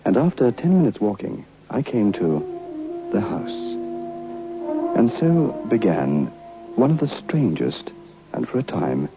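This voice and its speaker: An actor playing a reflective, educated gentleman recounting his youth as a measured, literary radio drama narrator. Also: mid-range voice, huge pitch swings, music in the background, heavily acted. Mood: wistful, foreboding. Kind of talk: old radio drama first-person narration. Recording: dull old radio recording.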